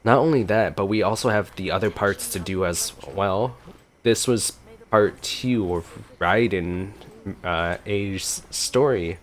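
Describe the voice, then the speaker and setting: A man's voice: lowish voice, slow, slight background noise. A man giving casual gameplay reaction commentary as a streamer, informal and exclamatory, easily impressed. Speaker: gaming streamer.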